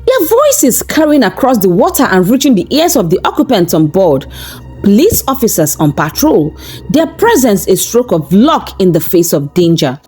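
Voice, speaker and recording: A woman's voice: mid-range voice, big pitch swings, normal speed, a little mellow, slightly rough, faint music behind. A woman narrating a scripted folktale in a dramatic, suspense-building storyteller's delivery.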